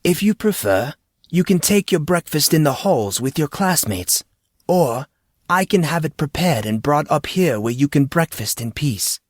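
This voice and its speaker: A man voicing a courteous, patient male attendant with formal, measured phrasing and gentle teasing, in an audiobook. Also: a little high, lively intonation, no echo, studio quality, pitched above the performer's own voice.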